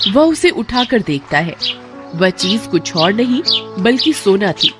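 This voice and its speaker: An expressive woman tells a children's story in a scripted storybook manner. Her pitch rises and falls with plenty of life. Music plays underneath. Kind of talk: scripted narration of a kids story. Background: background music.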